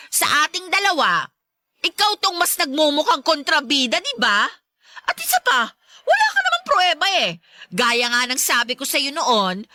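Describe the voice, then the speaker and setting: A woman's voice: sits high, sharp tone, no echo, studio quality, full character voice. A woman playing a scheming, defiant gold-digging stepmother in a melodramatic radio drama, with a taunting, mocking manner. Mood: smug, taunting.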